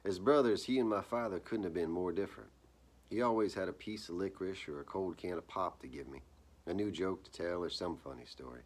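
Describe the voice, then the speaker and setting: Male author-narrator, extremely low register, gritty husky tone, deliberate storyteller's phrasing. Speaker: author reading own short story. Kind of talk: dramatic live reading of literary horror fiction.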